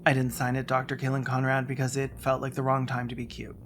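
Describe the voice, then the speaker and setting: A narrator reading a scripted video essay in a measured way, including emails read aloud. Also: medium pitch, monotone, a bit bright, slight background noise.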